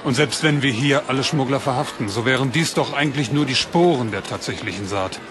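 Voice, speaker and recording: A man's voice: mid-range voice, a little fast, natural voice. A man playing a dutiful, mild, respectful soldier in an audio drama. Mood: reasoning. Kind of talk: German audio drama acting. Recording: noisy street crowd behind.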